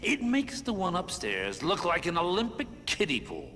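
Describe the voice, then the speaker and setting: A smug, scheming lord of the underworld for a video game. His slightly high voice swings extravagantly up and down, slick and sardonic, over faint noisy ambience. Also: wildly swooping pitch, normal speed, in a large hall. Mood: sly, amused. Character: scheming male god of the underworld, smug and sarcastic.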